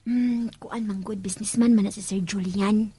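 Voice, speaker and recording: A woman's voice: mid-range voice, normal speed, breathy edge. A woman with a Filipino Cebuano accent acting a bossy, gossipy senior housemaid in a radio drama. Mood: confiding, cautioning. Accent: Filipino, Cebuano-speaking. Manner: animated, chatty, admonishing.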